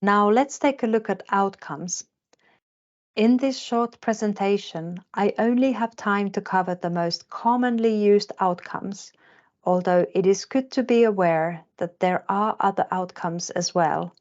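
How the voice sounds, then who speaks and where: Finnish-born woman in her 40s reading a lecture, slow and careful, bit muffled lo-fi sound. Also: mid-range voice, darkish tone. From Finland. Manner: measured, carefully paced delivery. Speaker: health economist. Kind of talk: scripted lecture narration. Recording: slightly dull lo-fi recording.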